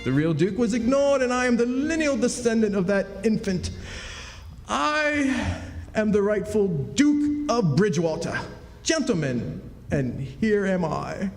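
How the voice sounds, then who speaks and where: Radio-play swindler: bogus duke, haughty and mournful, high-pitched and melodramatic, in a reverberant hall with music. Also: male voice, lively intonation, a little slow, gravelly, darkish tone, exaggerated voice. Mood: mournful, grandiose. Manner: melodramatic, mournful, grandly put-upon. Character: self-pitying swindler posing as a wronged, haughty duke. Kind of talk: old-time radio drama acting, performed live. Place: stage in a reverberant historic club hall. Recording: echoey hall stage performance with music.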